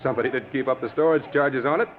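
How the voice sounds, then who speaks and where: Actor as hearty organiser, tinny radio sound. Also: male voice, mid-range voice, rapid-fire, close to an everyday voice. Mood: chummy. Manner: genial, chuckling, folksy salesmanship. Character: hearty, chummy charity organiser pushing raffle tickets. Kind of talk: 1940s radio acting. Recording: tinny old radio, crowd behind.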